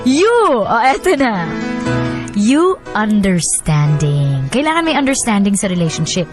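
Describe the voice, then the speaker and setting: A woman hosting a radio show in an expressive, storytelling way. Her voice sits at a middle pitch, with faint music behind her. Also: a little slow. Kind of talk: radio advice and dramatic letter reading.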